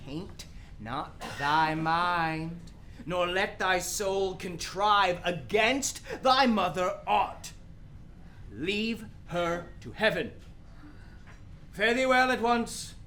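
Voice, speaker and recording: An actor playing the anguished ghost of a murdered king and father in a Shakespeare radio play, declaiming in a drawn-out, theatrical way. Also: sits high, sharp tone, a little hiss or hum, full character voice. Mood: grave farewell.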